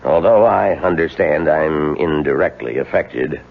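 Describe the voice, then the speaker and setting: An actor playing an authoritative older law firm boss in a radio mystery, measured and persuasive with deliberate pauses. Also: male voice, very deep voice, creaky, full character voice. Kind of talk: 1970s radio mystery drama acting. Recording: thin, lo-fi, hissy old radio recording.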